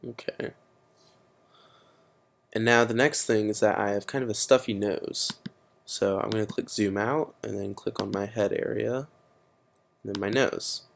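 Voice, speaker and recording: A man's voice: lowish voice, fairly even intonation, a little slow, vocal fry, long pauses, a little hiss or hum. A teenage boy presenting a casual computer tutorial, explaining steps with frequent fillers. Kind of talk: screen-recorded computer tutorial.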